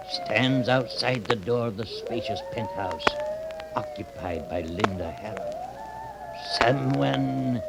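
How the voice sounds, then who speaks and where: An actor playing an ancient cackling hermit who tells horror tales in a slow, theatrical 1930s radio manner. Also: male voice, vocal fry, full character voice. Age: older. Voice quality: cackling, breaking into high shrieks. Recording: very noisy scratchy 1930s radio recording.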